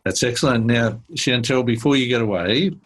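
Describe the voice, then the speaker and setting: A man's voice: medium pitch, normal speed. A man hosting a podcast interview, friendly and affirming toward his guest. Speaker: podcast host.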